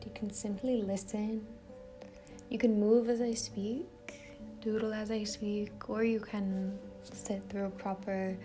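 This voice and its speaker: A woman leading an impromptu guided meditation in a soft, gentle voice that often trails toward a whisper. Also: medium pitch. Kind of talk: impromptu guided meditation with casual rambling introduction.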